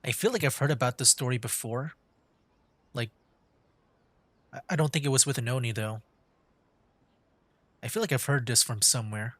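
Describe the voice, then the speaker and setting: A man's voice: medium pitch, normal speed, pauses often, a bit bright, no room sound. A man giving casual gaming commentary as a streamer, rambling and hesitant, close to the microphone. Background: faint game music.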